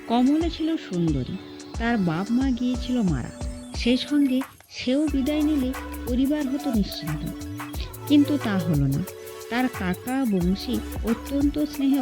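A woman's voice: fairly high pitch, expressive, a little slow, over music. A woman narrating a classic short story as an audiobook reader, in scripted storytelling style.

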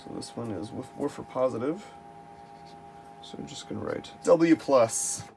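A man's voice: medium pitch, animated, unhurried, gravelly, darkish tone. A man casually narrating his hands-on DIY work in a workshop, thinking aloud as he goes. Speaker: maker narrating a DIY build. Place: indoor cluttered home workshop. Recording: noisy workshop camera recording.

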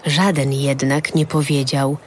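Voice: medium pitch, flat delivery, unhurried, a little hiss or hum. A narrator reading scripted narration for a dramatised audio Bible.

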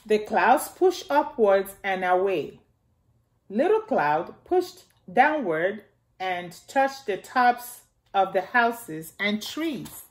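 A middle-aged woman hosting a storytime, reading aloud to small children in simple, deliberate phrases and repeating key words. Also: mid-range voice, very animated. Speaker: storytime host reading a picture book to young children. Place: indoors in a home, beside a staircase.

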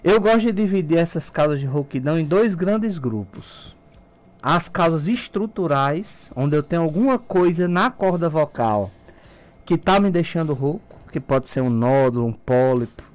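A middle-aged man with a Brazilian accent, an ENT doctor explaining things step by step as a guest in a radio studio, speaking through a face mask. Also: a little high. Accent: Brazilian Portuguese. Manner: didactic, calm explaining. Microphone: studio mic, wearing a face mask.

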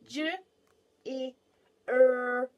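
A woman's voice: high voice, steady tone, very slow, darkish tone, highs cut off, voice pushed up. A woman voicing a haughty, boastful tall giraffe in a storybook, emphatic and self-assured.

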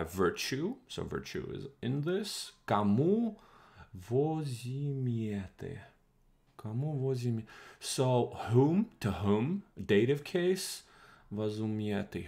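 Slow, gravelly-voiced man, Croatian native. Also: on the low side, darkish tone. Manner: thinking aloud, halting, many fillers. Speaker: streamer reading an old primer. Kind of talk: casual live-stream reading and translating.

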